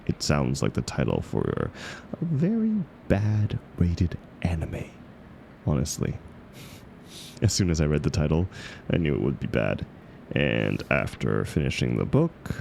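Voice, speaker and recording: A man's voice: rumbling low, creaky, darkish tone, a little hiss or hum, heavily acted. A man acting a grumpy, exasperated book club member in an audio roleplay, sarcastic, emphatic and indignant. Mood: scornful, dry. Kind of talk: scripted audio roleplay acted as one side of a conversation.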